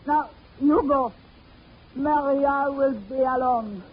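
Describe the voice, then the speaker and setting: An actress playing a proud, secretive old Haitian sorceress in 1940s radio style, speaking broken English in short imperious phrases. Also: female voice, high voice, steady tone, slow. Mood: grudging, ominous. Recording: tinny, noisy old radio recording.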